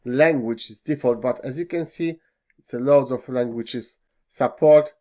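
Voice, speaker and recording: A man whose first language is Polish walks through a web browser's features in a screen-recorded tutorial, pausing often with fillers and slightly non-native phrasing. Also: mid-range voice, warm tone. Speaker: tech tutorial host. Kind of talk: screen-recorded software walkthrough.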